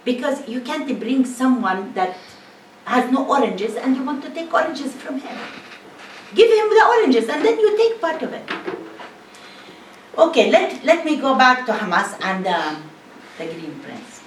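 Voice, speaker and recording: Woman giving a forceful talk, fairly high voice, heard from across an echoey room. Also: expressive, measured pace. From northern Israel. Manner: emphatic, rhetorical questions, repeats herself. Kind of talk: impassioned public talk. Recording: echoey room, mic at a distance, faint hiss.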